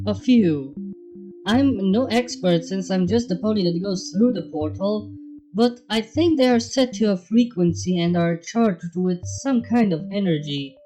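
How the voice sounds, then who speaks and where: Blunt, weary pony outsider voiced by a woman, mellow tone. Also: medium pitch, measured pace, soft background music, lightly acted, poor audio. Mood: matter-of-fact. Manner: dry, blunt. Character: blunt, world-weary transplanted pony, dry and indignant.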